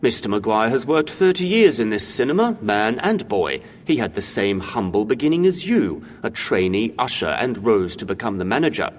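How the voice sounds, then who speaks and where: An actor playing a stern, loyal old senior cinema usher, speaking formally and emphatically in an audio drama. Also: male voice, on the low side, sing-song, normal speed, few pauses. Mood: proud, assured. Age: older. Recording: reverberant hall, faint background noise.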